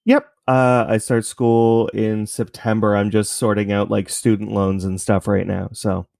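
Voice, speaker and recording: A man with a fairly low voice answers interview questions casually, explaining things in measured stretches and pausing between phrases. He sounds close and dry, with no room on the recording. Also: little pitch movement, creaky, a little mellow, no room sound. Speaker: podcast creator being interviewed.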